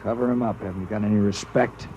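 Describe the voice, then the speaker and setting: A man's voice: lowish voice, steady tone, fast talker, mellow, lightly acted. A man playing an uneasy, plain-spoken engine-room crewman in an American radio drama, talking colloquially. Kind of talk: mid-century American radio drama acting. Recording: muffled, noisy vintage radio recording.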